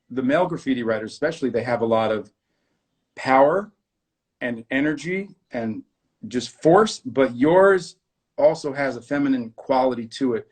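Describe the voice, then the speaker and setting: A man hosting an interview, talking enthusiastically and heaping praise on his guest. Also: medium pitch. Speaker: host. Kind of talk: casual interview.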